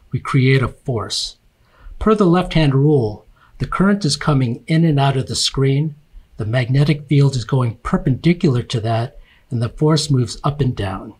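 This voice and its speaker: A man reading scripted instructional narration for a technical slide presentation, explaining in measured, separate phrases. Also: mid-range voice, slightly rough.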